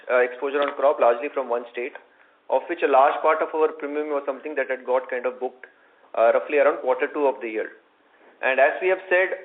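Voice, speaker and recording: Indian exec guy on a conference call, kinda high voice, measured and repeats himself, phone quality audio. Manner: measured, repetitive, hedging with "kind of". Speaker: company executive answering investor questions. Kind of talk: earnings call answers. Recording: muffled conference call line.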